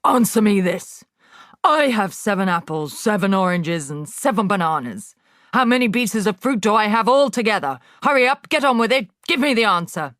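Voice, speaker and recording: A woman voicing a bullying female headmistress who shouts insults at a pupil. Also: medium pitch, lively intonation, normal speed, no echo, clean studio sound, pitched above the performer's own voice, close to an everyday voice. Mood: furious, bullying.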